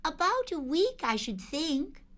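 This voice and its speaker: A woman's voice: high-pitched, very animated, measured pace, fairly bright tone, pitched above the performer's own voice, full character voice. A woman voicing a kind young boy in a storybook reading, speaking in a fond, matter-of-fact way. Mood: kindly.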